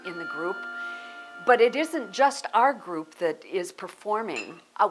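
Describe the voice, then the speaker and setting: An American woman with a slightly low voice talks casually in an interview. She explains things conversationally, as the artistic director of a theatre group. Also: a bit bright, rough recording.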